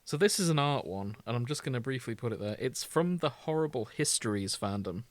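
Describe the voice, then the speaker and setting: A man's voice: mid-range voice, animated, fairly quick, no echo. A man co-hosting a podcast, talking informally and conversationally about fandom. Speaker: podcast co-host. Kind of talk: casual chat about fandom.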